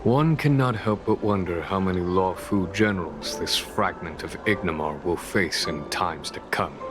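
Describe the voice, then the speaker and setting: An actor playing a calm, wise general in a video game, with measured, formal, unhurried delivery. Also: male voice, deep voice. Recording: music under the voice.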